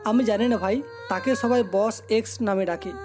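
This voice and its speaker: A woman's voice: on the high side, normal speed, lightly acted. A woman voicing a frightened, submissive male gang underling in an audio story. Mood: pleading. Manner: pleading, submissive. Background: music.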